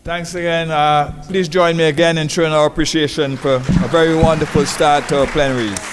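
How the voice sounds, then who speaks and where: A man's voice: fairly high pitch, fairly even intonation, brisk, a faint crowd. A man chairing a conference session, courteous and formal. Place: large conference hall. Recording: hall reverb with faint noise.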